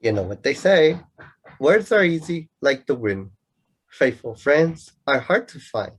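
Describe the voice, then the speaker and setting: A man's voice: mid-range voice, sing-song, a little slow, slightly rough. A man acting a sarcastic, lovelorn young student in an amateur radio play, with a mocking, bookish, declamatory manner. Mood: proud, defiant.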